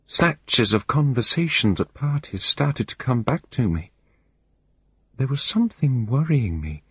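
A man's voice: medium pitch, husky, no room sound, voice pushed up. A man voicing a distraught middle-aged woman who speaks in a shaky, trembling, pleading way. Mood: shaken, confiding.

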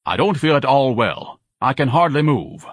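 A man's voice: medium pitch, big pitch swings, normal speed, slightly rough, dry recording, clean studio sound, pitched above the performer's own voice. A man voicing a huge, pompous and suspicious grown son with an aggrieved, bookish way of speaking in an audiobook story. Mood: self-pitying.

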